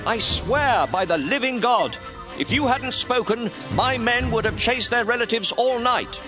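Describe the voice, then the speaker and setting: Very high, vehement army commander. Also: male voice, animated, a little slow, music in the background. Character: fierce army commander shouting an oath to his enemy. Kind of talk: Bible audio drama acting.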